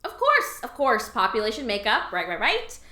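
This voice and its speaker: In a roomy, echoing space, a slick female alien pencil-pusher from an audio comedy. Her voice is on the high side and swings around a lot, with sarcasm under a breezy, bossy front. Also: huge pitch swings, normal speed, pushed and bright, full character voice. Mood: falsely breezy. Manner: sarcastic, falsely breezy with superiors. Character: Bethany, sardonic female alien office clerk, bossy and slick. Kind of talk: scripted audio comedy acting.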